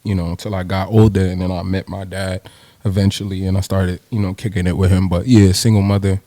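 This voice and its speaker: A man's voice: deep voice, level tone, brisk, dark tone, no echo. A young man from New Jersey, a rapper, chatting casually as an interview guest with slang and swearing. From New Jersey, USA. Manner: laid-back slangy, swears freely, laughs.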